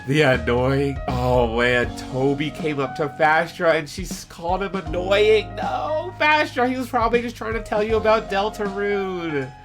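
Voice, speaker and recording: A man with a slightly stuffy nose chatting casually with live stream viewers in an excitable, playful, rambling way. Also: high-pitched, measured pace, hardly any pauses. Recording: music behind the voice. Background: background music with guitar.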